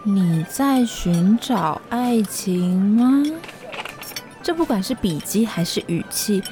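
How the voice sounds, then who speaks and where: A woman's voice: mid-range voice, lively intonation, slow pace, mellow, soft background music. A woman with a Taiwanese Mandarin accent playing a precise, matter-of-fact, nerdy young woman in a podcast radio drama. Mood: observant.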